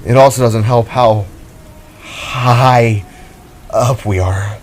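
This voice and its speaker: A man's voice: medium pitch, steady tone, takes it slowly, a little hiss or hum, close to an everyday voice. A man voicing an anxious, babbling hero in an audio drama. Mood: flustered. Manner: babbling, flustered. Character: anxious self-composed spark hero, a blaster-armed blue boy.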